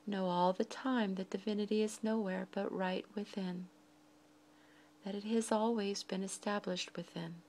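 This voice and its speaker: Woman, meditation guide, soft breathy delivery, medium pitch, measured short phrases, frequent pauses, noticeable background noise. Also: steady tone.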